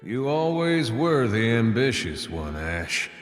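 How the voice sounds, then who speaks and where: Wry cowboy gunslinger, US drawl, unhurried, lilting, long reverb, video game character. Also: male voice, mid-range voice, sing-song, soft background music. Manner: laid-back, wry, drawling. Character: laid-back wry cowboy gunslinger, former outlaw.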